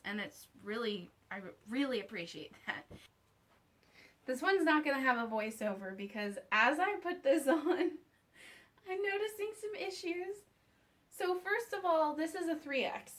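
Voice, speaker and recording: A woman vlogger giving a casual, unscripted review to camera, candid and wry, with conversational asides. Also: high-pitched.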